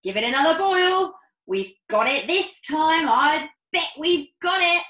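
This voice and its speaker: A woman voicing an excitable, bossy farmer father who shouts eagerly. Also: extremely high pitch, takes it slowly, roomy sound, pitched above the performer's own voice, heavily acted. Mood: excited.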